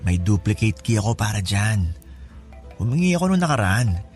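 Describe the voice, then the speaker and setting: An actor plays a scheming male relative in a Filipino radio drama, casual and overly familiar. His voice sits on the low side as he coaxes and offers favours. A light music bed runs behind him. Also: sing-song, moderate pace, darkish tone. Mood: reassuring, conspiratorial. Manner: familiar, coaxing. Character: casual, scheming male in-law offering help with strings attached.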